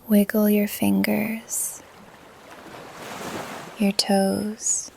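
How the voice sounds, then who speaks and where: A woman leading a guided meditation in a soft, calm, breathy voice that often trails into a whisper, with gentle pauses. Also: medium pitch, slight background noise. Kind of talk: guided meditation, yoga nidra narration. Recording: ocean surf under the voice. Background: ocean waves ambience.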